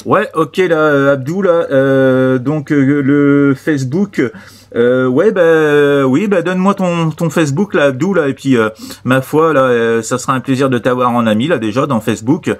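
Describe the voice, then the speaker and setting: Male CB operator, medium pitch, casual radio-contact talk, halting, repetitive phrasing full of tags.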